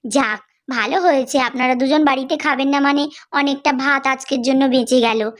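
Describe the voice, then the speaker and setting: A very high-pitched young village wife from a cartoon , played by a woman. She is miserly and calculating, with a brisk, grumbling, fussy way of talking. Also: fairly even intonation, natural voice. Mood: pleased, calculating. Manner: complaining, brisk, penny-pinching fussiness. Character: stingy, grumbling young village daughter-in-law, calculating and curt. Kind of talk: cartoon family drama acting.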